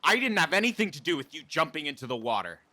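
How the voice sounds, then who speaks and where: A man's voice: fairly high pitch, very animated, quick pace, bright, forceful tone, heavily acted. A man acting a curt, defensive policeman, a tense former fiancé, in a radio play. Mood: angry.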